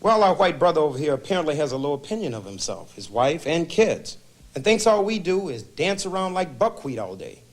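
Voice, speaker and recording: A man's voice: high-pitched, lively intonation, fairly quick, gravelly, hardly any pauses. An actor playing a sardonic, laid-back man in group therapy in a comedy film. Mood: indignant, sardonic. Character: laid-back male therapy patient, sardonic when insulted.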